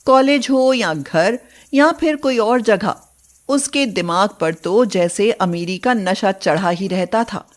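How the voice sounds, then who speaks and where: Slow-paced woman narrating a story. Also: mid-range voice.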